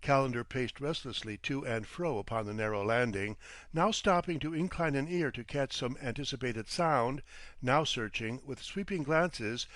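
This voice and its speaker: A man reading vintage detective fiction aloud as an audiobook narrator, in a measured, literary manner. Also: mid-range voice, fairly bright tone, no room sound.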